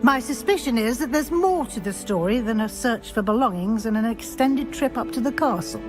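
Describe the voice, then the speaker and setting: Woman playing a lively, brisk British witch professor. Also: a little high, music in the background, close to an everyday voice. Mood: probing. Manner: crisp, formal, schoolmistress-like. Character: brisk, exacting female witch professor guiding a new student. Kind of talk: video game character dialogue.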